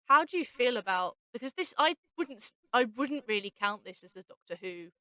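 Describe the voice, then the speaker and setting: A woman's voice: on the high side, animated, fairly quick, sharp tone, no echo. A woman hosting a casual behind-the-scenes chat, speaking emphatically and repeating herself for emphasis. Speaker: host, writer and actress.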